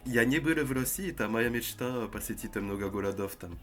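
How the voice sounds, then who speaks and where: A man's voice: medium pitch, loud music behind the voice. A man, speaking a learned language hesitantly over a video call. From France. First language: French. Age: 20s. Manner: halting, hesitant with many fillers.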